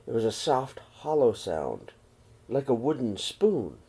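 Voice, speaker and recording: Man reading a storybook aloud, very varied pitch. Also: big pitch swings, unhurried, mellow, husky. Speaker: teacher reading aloud to young children. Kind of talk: read-aloud storybook narration.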